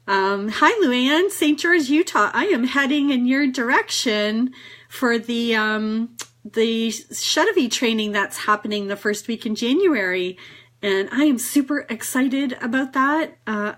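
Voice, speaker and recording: A woman's voice: on the high side, fairly bright tone. A woman with a cold giving a casual, encouraging live talk to viewers, chatty and full of fillers. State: has a cold. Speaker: network marketing business trainer.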